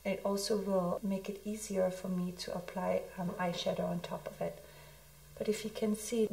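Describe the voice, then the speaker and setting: Hoarse, gravelly woman losing her voice. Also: medium pitch, mellow, rough recording. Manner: chatty, friendly, explains as she works. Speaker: makeup artist. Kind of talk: makeup tutorial.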